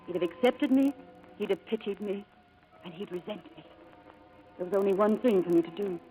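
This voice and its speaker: A woman playing a devoted, quietly sorrowful secretary secretly married to her boss, in 1940s radio drama style. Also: mid-range voice, a little fast, faint music behind, lightly acted. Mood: sorrowful, wistful. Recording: muffled old radio broadcast recording.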